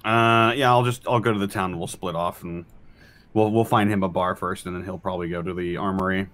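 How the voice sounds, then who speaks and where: A man playing a tabletop game, talking casually and haltingly through what his character does. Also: low-pitched, steady tone, a bit bright.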